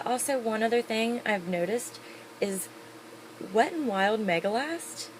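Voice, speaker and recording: A woman's voice: medium pitch, slight background noise, low-quality recording. Chatty upbeat woman reviewing products. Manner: chatty, upbeat, rambling with fillers. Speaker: beauty vlogger.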